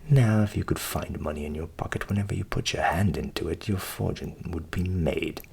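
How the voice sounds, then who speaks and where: Man doing low, husky, wily tradesman. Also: a little fast, darkish tone, exaggerated voice. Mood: coaxing. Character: blithe, wily scissor grinder, storybook tradesman.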